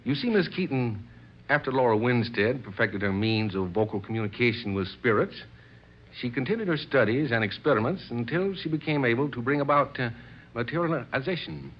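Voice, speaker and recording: An actor playing an excitable, courteous gentleman who is devoted to séances, in a theatrical old radio drama style with effusive, old-fashioned clubman's speech. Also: mid-range voice, fairly quick, lightly acted. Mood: eager, explaining. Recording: dull old radio recording.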